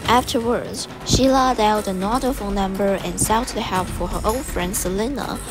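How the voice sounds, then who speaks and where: A woman's voice: medium pitch, moderate pace. A woman with a Taiwanese accent reading scripted narration for a student English radio drama. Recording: narration over clear background music.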